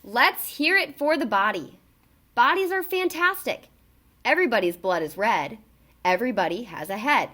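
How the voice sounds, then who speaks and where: A woman reading a children's book aloud in an expressive, emphatic way, as a narrator for young listeners. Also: a little high, moderate pace.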